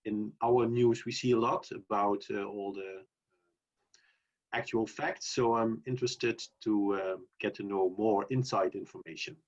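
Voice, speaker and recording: A man's voice: on the low side, steady tone, a little slow. Man from the Netherlands on a muffled call. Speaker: participant. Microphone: video call. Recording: muffled video call audio.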